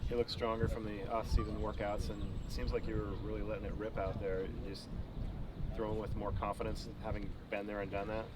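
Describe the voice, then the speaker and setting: A man working as a reporter, asking friendly, brisk questions. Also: lowish voice, level tone, crowd noise.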